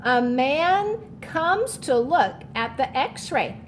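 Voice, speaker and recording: Lady reading a kids book slowly, kinda high voice, stops to explain stuff, bit of rumble. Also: expressive. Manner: instructive, checks understanding with short asides. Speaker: read-aloud teacher reading a children's book. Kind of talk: storybook read-aloud with explanatory asides.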